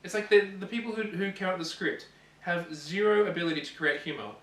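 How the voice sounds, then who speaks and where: Echoey, bright-voiced man co-hosting. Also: high voice. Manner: halting, repeats phrases, sarcastic joking. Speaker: co-host of a gaming show. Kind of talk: casual chat presenting a countdown list. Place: echoey indoor room, voice away from mic. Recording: echoey room, distant mic.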